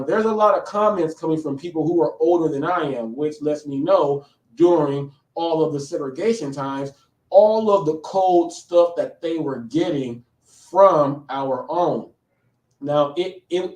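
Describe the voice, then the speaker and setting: A man's voice: fairly high pitch, darkish tone, roomy sound. Guy in his late 30s rambling on a live stream, repeats himself a lot, sounds a bit far from the mic. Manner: rambling, repeats phrases, checks in with "okay?". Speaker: live show host. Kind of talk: casual live commentary.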